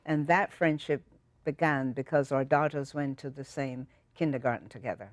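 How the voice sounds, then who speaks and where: A woman's voice: a bass voice, moderate pace, dry recording, studio quality. A middle-aged Jamaican woman from Jamaica, a guest talking in a thoughtful, reflective way on a talk show. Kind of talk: casual chat.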